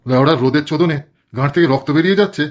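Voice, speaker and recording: A man's voice: on the high side, rapid-fire, noisy background, natural voice. A man voicing a grumpy, crude middle-aged village husband in a cartoon comedy, who swears and complains constantly. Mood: cranky.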